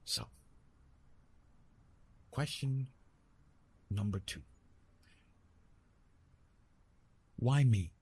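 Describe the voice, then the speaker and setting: A man playing Eros, a gently amused, bashful retired god of love, in a halting, teasing, intimate ASMR roleplay monologue. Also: mid-range voice, lively intonation, breathy edge, exaggerated voice. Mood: gentle, curious. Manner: hesitant, halting, teasing, with repeated words.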